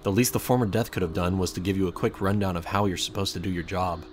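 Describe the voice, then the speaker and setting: Low-voiced man narrating a story over music. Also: fairly even intonation, fast. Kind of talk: scripted narration of fan fiction in second person.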